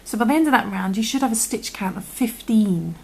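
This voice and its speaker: A woman with a croaky voice, patiently talking through a crochet tutorial and often dropping to a half-whisper as she works. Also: mid-range voice, animated, brisk, a little hiss or hum, low-quality recording. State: croaky from illness. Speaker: crochet instructor. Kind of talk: step-by-step tutorial.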